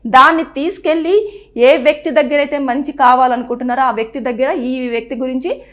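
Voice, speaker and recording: Fast-talking high-voiced woman presenter. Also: steady tone. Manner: earnest, advising, repeats phrases and acts out quoted speech. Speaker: news presenter. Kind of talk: explainer to camera on a legal topic.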